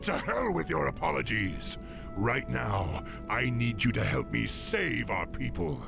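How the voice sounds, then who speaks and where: An actor playing a noble young orc war chief in a video game cutscene, with grave, forceful, theatrical delivery. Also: male voice, mid-range voice, sing-song, unhurried, vocal fry, over music, full character voice. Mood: fierce, resolute.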